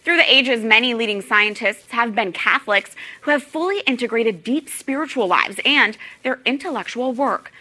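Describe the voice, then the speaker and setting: A woman host delivers a scripted news introduction in a polished, measured way. Her voice is fairly high and very bright. The reading stays even from line to line.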